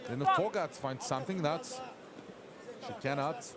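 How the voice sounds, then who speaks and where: A man with a Turkish accent giving live play-by-play of a boxing fight, rising to shouts at big moments. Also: on the high side, big pitch swings, measured pace. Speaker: fight commentator. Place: boxing arena. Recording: loud arena crowd and music behind.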